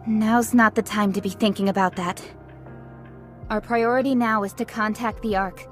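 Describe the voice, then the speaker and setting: A woman acting as a young female squad leader in a game cutscene. She is focused and protective, with a measured, authoritative delivery in a middle register, over clear background music. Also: medium pitch, fairly even intonation, lightly acted. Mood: firm, focused.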